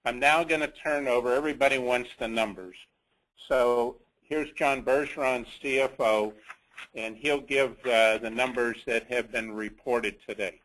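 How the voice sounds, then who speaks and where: A man with a mid-pitched voice leads an investor call, going through slides of business figures. He speaks slowly and haltingly, pausing inside his sentences as he presents. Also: steady tone, fairly bright tone, rough recording. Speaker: company executive leading an investor conference call.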